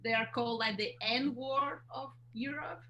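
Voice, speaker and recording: A woman's voice: on the high side, a little slow, sharp tone, dull audio. A woman musician appearing as a guest, chatting casually, laughing often and swearing freely. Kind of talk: casual chat.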